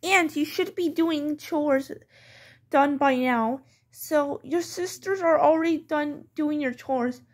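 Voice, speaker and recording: A woman's voice: high-pitched, takes it slowly. A woman playing a strict mother who lays down rules in a firm, scolding way, in a homemade pretend-play skit.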